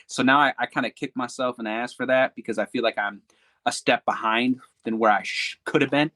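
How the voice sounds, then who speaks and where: Male guest, mid-pitched, dry studio sound, loose candid talker. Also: steady tone, a little fast, sharp tone, dry recording. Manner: candid, rambling with restarts and fillers. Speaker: podcast guest, hip-hop artist. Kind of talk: casual interview chat.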